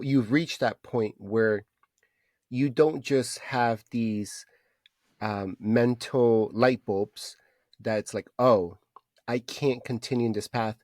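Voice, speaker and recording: A man's voice: medium pitch, darkish tone, dry recording, studio quality. A man in his thirties chatting casually as a podcast co-host, encouraging and repeating phrases for emphasis. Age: 30s. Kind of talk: casual chat.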